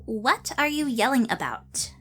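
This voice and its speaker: A woman voicing a bossy, girly young pony with a self-assured, drawn-out delivery in a cartoon fan audio drama. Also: on the high side, big pitch swings, a bit bright, breathy edge, faint music behind, full character voice. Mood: breezy, scheming.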